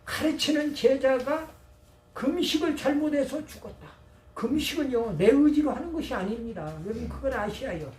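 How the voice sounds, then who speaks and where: A man's voice: very high-pitched, moderate pace, a little mellow. A middle-aged or older man preaching as a pastor, emphatic and folksy, telling personal anecdotes. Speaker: pastor and former seminary professor. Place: church service. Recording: echoey church, distant mic, faint noise.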